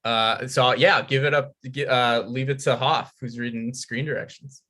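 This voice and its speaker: Man, crisp bright tone, mid pitch, table read host, script narration, casual delivery. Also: fairly even intonation, normal speed. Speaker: table read host, stage-direction reader and performer.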